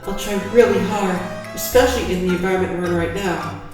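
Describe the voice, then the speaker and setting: A woman's voice: on the low side, quick pace, music in the background, natural voice, rough recording. A woman playing a shy, self-doubting young model in a fan audio drama, hesitant and stammering. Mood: self-doubting, wistful. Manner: hesitant, soft-spoken, stammering.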